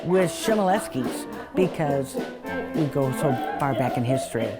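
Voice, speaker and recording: A woman's voice: a bass voice, lively intonation, moderate pace, loud music behind the voice. A woman who plays polka in a family band, telling stories in an interview.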